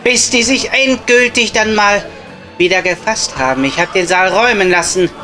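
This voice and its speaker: A man's voice: sits high, moderate pace, over music, natural voice. A man playing a grandiose, bitter, foul-mouthed showman guest in an improvised comedy audio play. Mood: grandiose, wistful.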